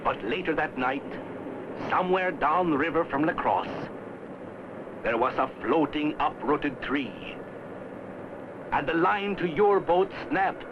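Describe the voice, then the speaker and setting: A man playing a towboat crewman, narrating an educational film in a measured storytelling way. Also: a little high, lively intonation, noisy background. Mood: dramatic storytelling. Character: towboat man narrating a river voyage to a boy. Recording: dull old film soundtrack. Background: music.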